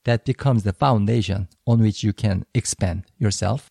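A man whose first language is Korean, co-hosting a podcast and explaining ideas in a thoughtful, slightly halting way. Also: on the low side, gravelly, dark tone, no room sound, clean studio sound. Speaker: podcast co-host. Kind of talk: casual educational discussion.

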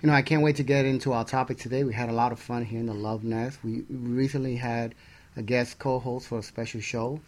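A man's voice: mid-range voice, steady tone, brisk. A man with a mid-range voice presents as the co-host of a talk radio show. His delivery is partly scripted, in the manner of show links and introductions.

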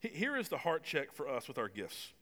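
A man's voice: a little high, wildly swooping pitch, a little fast, fairly bright tone, long reverb tail. A man of about forty preaching a sermon as pastor in a conversational, self-deprecating way, with some stumbles and fillers. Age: 40s. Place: church stage with long reverb.

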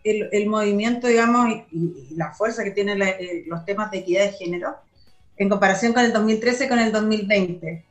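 A woman hosts an interview show at a middling pitch. She introduces her guest and asks questions hesitantly, with frequent fillers and restarts. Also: brisk, faint music behind. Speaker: host. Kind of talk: interview hosting.

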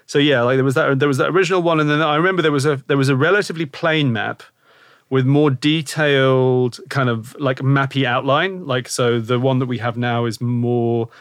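Male podcast host, mid-pitch, loose rambling talk, dry close mic. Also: steady tone, a little fast, dry recording. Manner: rambling, thinks aloud with many "like" and "kind of". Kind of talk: casual podcast conversation.

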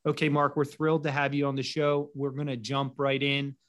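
A man's voice: medium pitch, monotone, brisk. A man acting as host, reading a formal guest introduction and then asking questions in a welcoming way. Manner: welcoming, reads the bio then asks questions. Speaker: show host.

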